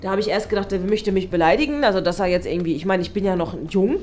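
A woman's voice: medium pitch, racing, darkish tone, soft background music, close to an everyday voice. A woman playing a self-important school headteacher in a comedy sketch, blunt and complaining, easily flustered. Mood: puzzled. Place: small office meeting room, indoors.

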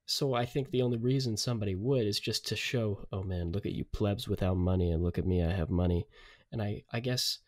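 A man with a fairly low voice talks as a podcast co-host. His style is casual and conversational, and he puts his opinions across plainly, repeating words as he goes. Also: brisk, a little mellow. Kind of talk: casual discussion.

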